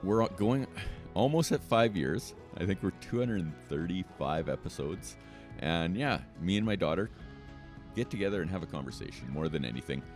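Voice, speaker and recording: A man's voice: sits low, animated, normal speed, a little mellow, faint music behind. A middle-aged man chatting casually as an interview guest about a hobby. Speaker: guest, board game radio show host.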